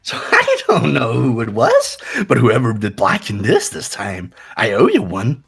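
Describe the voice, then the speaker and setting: A man's voice: medium pitch, wildly swooping pitch, unhurried, vocal fry, a bit bright, slightly rough, soft background music, full character voice. A man voicing a gleefully taunting villainous toy bear mascot in a fan-voiced game, mocking and theatrical. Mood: mocking glee. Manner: mocking, theatrical, sing-song.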